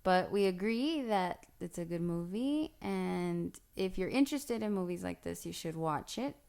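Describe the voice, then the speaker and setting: A woman's voice: fairly low pitch, lively intonation, a little slow, creaky, darkish tone, clean tone. A woman co-hosting a podcast in casual chat, playful and teasing her co-host. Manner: playful, teasing, laughs easily.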